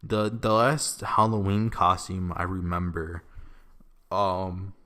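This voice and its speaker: A young man with a Midwestern accent answering questions in a relaxed, rambling vlog, with lots of ums and likes, in an echoey room. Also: low-pitched, slow pace. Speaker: YouTuber. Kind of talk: casual Q&A vlog.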